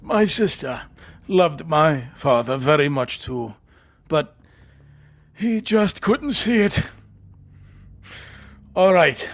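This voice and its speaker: Male actor as wary passenger, unhurried, slightly high voice, matter-of-fact, audio drama. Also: expressive. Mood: reflective. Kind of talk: comedic audio drama acting.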